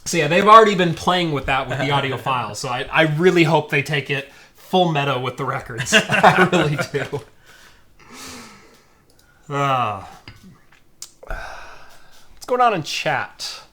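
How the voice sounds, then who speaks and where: A man's voice: fairly high pitch, expressive, unhurried, pauses often, pushed and bright. A man hosting a casual tech podcast, still getting over a cold and sniffling, chatting in a laid-back, jokey way with many fillers into a close desk mic. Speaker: show host. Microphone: desk microphone close by.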